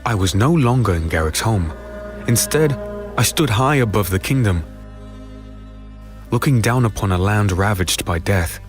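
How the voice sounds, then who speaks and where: Male actor as weary fantasy hero, low voice, somber first-person audio drama narration, deliberate, music underneath. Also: lively intonation, close to an everyday voice. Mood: ominous, tense. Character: weary, brooding male fantasy hero telling his own tale. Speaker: narrator and lead character.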